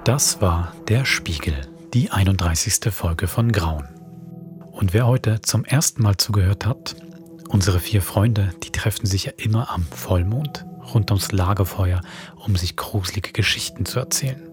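Deep-voiced man, hushed podcast host. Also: measured pace, music in the background. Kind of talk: scripted podcast outro.

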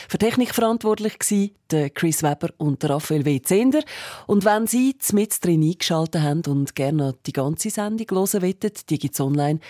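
A woman's voice: low voice, dark tone, dry recording, studio quality. A woman with a Swiss German accent reading a scripted announcement as a radio presenter.

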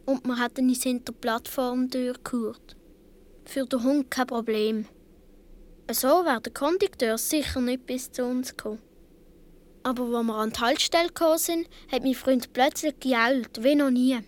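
A woman's voice: sits high, moderate pace, natural voice. A woman playing a seven or eight year old Swiss boy, telling his story earnestly with a Swiss German accent in a radio play. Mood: wistful, recounting.

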